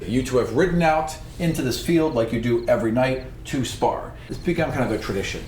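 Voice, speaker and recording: Male game master, conversational, talks players through rules and scenes, echoey room with clear background noise. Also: mid-range voice, a little fast, darkish tone. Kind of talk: narrating a tabletop game.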